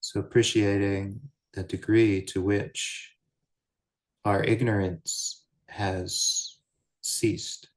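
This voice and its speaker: A man guiding a meditation as a teacher, speaking in measured, deliberate short phrases with pauses between them. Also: on the low side, flat delivery, poor audio. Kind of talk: guided meditation, unscripted Buddhist teaching.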